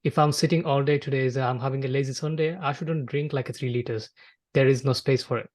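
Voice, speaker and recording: A man from Bangladesh with as his hosts a casual conversation. His mid-pitched voice moves very little in melody. He speaks haltingly, often circling back over his words. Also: steady tone, darkish tone. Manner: halting, repetitive phrasing with frequent filler "like". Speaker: podcast host. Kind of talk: casual interview chat.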